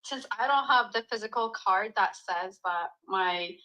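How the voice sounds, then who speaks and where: Bright-voiced woman calling in, hesitant phrasing. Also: mid-range voice, a little slow, rough recording. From Ethiopia. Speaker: caller asking for advice. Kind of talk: casual, tentative question on a live call.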